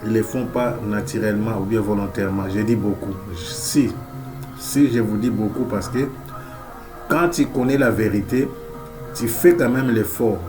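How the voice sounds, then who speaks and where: A man's voice: fairly low pitch, normal speed. Male preacher with a head cold, emphatic and repetitive, faint music behind. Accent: Central African French. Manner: emphatic, repeats key phrases, frequent amen and alleluia. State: has a cold. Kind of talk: sermon.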